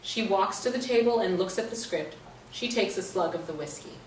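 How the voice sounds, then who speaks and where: A woman's voice: mid-range voice, normal speed. A woman narrator reading stage directions in a scripted play reading. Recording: roomy stage, distant mic, faint noise.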